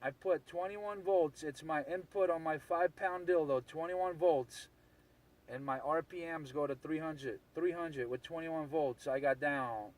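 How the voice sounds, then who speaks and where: A distant CB radio transmission carries a man with a fairly high voice. He banters casually with other operators, teasing them in slang and repeating his lines. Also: measured pace.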